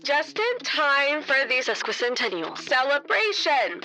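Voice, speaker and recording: A high female voice acted by a woman swoops through a parody radio commercial over prominent music. The announcer is chirpy, cheesy and promotional. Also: sing-song, measured pace, pushed and bright, heavily acted. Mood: cheesy, upbeat. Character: chirpy local radio zoo advertisement announcer, punning.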